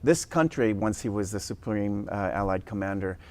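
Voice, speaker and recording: Measured, low-voiced male professor. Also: darkish tone. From Japan. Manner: thoughtful, measured, frequent hesitations. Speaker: guest, university professor. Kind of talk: interview conversation. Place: television studio.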